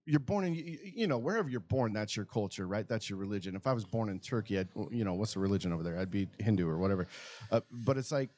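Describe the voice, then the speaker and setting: A man's voice: on the low side, lively intonation, fast talker, a little mellow. A man from Utah with an American accent, talking as a podcast guest in a casual, rambling, informal and sometimes profane way. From Utah, United States. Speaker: podcast guest, cigar brand co-owner. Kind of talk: casual chat.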